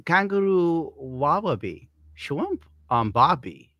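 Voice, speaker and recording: Guy doing a thick fake japanese accent, halting and hammy, bright dry mic. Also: a little high, big pitch swings, no room sound, voice pushed up, full character voice. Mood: halting, theatrical. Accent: exaggerated mock Japanese. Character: man with a thick mock Japanese accent reading slowly.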